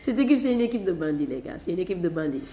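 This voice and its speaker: A woman from Catalonia reviewing football matches in a casual, animated way, stressing points by repeating them. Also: fairly low pitch, quick pace, echoey room. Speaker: football channel host.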